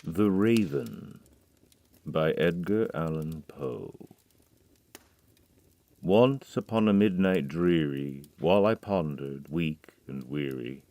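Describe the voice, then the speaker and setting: Very deep voiced guy doing a slow brooding poem reading, goes hushed on the quiet bits. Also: no echo. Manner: measured, brooding recitation with hushed drops. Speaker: narrator. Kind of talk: dramatic poetry reading.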